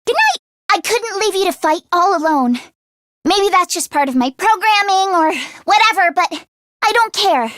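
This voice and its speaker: A woman voicing an anxious, earnest teenage girl mechanic in a video game, with an expressive, hesitant delivery. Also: extremely high pitch, normal speed, no room sound, clean studio sound. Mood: cheerful, encouraging, then resolute. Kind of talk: English video game voice acting, isolated voice lines.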